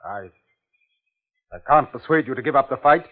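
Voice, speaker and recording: An actor playing an anxious, earnest commissioner who narrates a 1950s radio drama in a grave, measured, theatrical way. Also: male voice, medium pitch, animated, lightly acted. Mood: alarmed, pleading. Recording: tinny 1950s radio recording with music.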